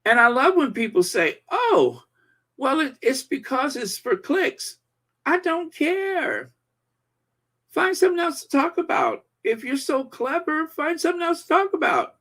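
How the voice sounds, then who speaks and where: Forties male host, unhurried and meandering, fond of pauses, chatty comment-reading commentary. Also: very high voice, very animated, a bit bright. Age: 40s. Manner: rambling, slow, lots of fillers and pauses. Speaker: channel host.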